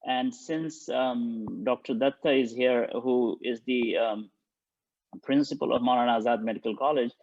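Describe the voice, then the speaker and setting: A man from India gives a university-style lecture over a video call, pausing often with ums and restarting his sentences. His voice sits in a middling register. The sound is dull and lo-fi and very dry. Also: mid-range voice, darkish tone, no echo. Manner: hesitant, many ums and restarts. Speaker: guest speaker, linguistics professor. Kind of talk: webinar lecture.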